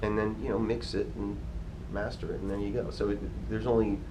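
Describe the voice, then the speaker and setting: A man's voice: lowish voice, flat delivery, a little fast, warm tone, a little hiss or hum, poor audio. A man chatting casually in an interview, easygoing and rambling, with lots of "you know" fillers. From United States. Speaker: guitarist being interviewed.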